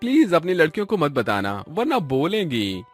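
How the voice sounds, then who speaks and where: A man acts a sly, pushy young son-in-law from a cartoon family story. He wheedles in a fairly high, lively, swooping voice, with music underneath. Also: moderate pace. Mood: wheedling. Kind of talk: animated storybook dialogue.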